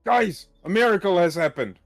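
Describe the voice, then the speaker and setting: A man's voice: sits high, expressive, normal speed, no room sound, studio quality. A man chatting casually on a gaming voice chat, griping and swearing freely. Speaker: gamer on a team voice chat. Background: video game music.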